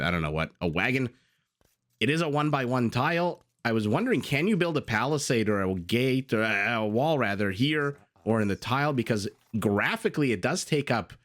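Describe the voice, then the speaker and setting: Raspy, chatty male game caster. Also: fairly low pitch. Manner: chatty, excitable, asides and exclamations. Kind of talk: strategy game match commentary. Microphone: desktop USB condenser mic.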